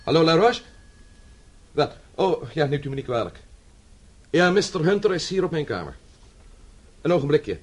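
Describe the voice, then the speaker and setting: Lively, firm, courteous man. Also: on the high side, moderate pace, pauses often, slightly rough. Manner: polite, firm. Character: earnest, brisk, resolute son-in-law, a man. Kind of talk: radio drama acting.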